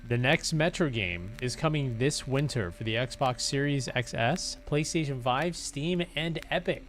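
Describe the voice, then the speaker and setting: A man's voice: mid-range voice, expressive, measured pace, a bit bright. Rambling, casual male livestreamer. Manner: rambling, hesitant, repeats himself. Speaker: live streamer host. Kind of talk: casual livestream chat to viewers.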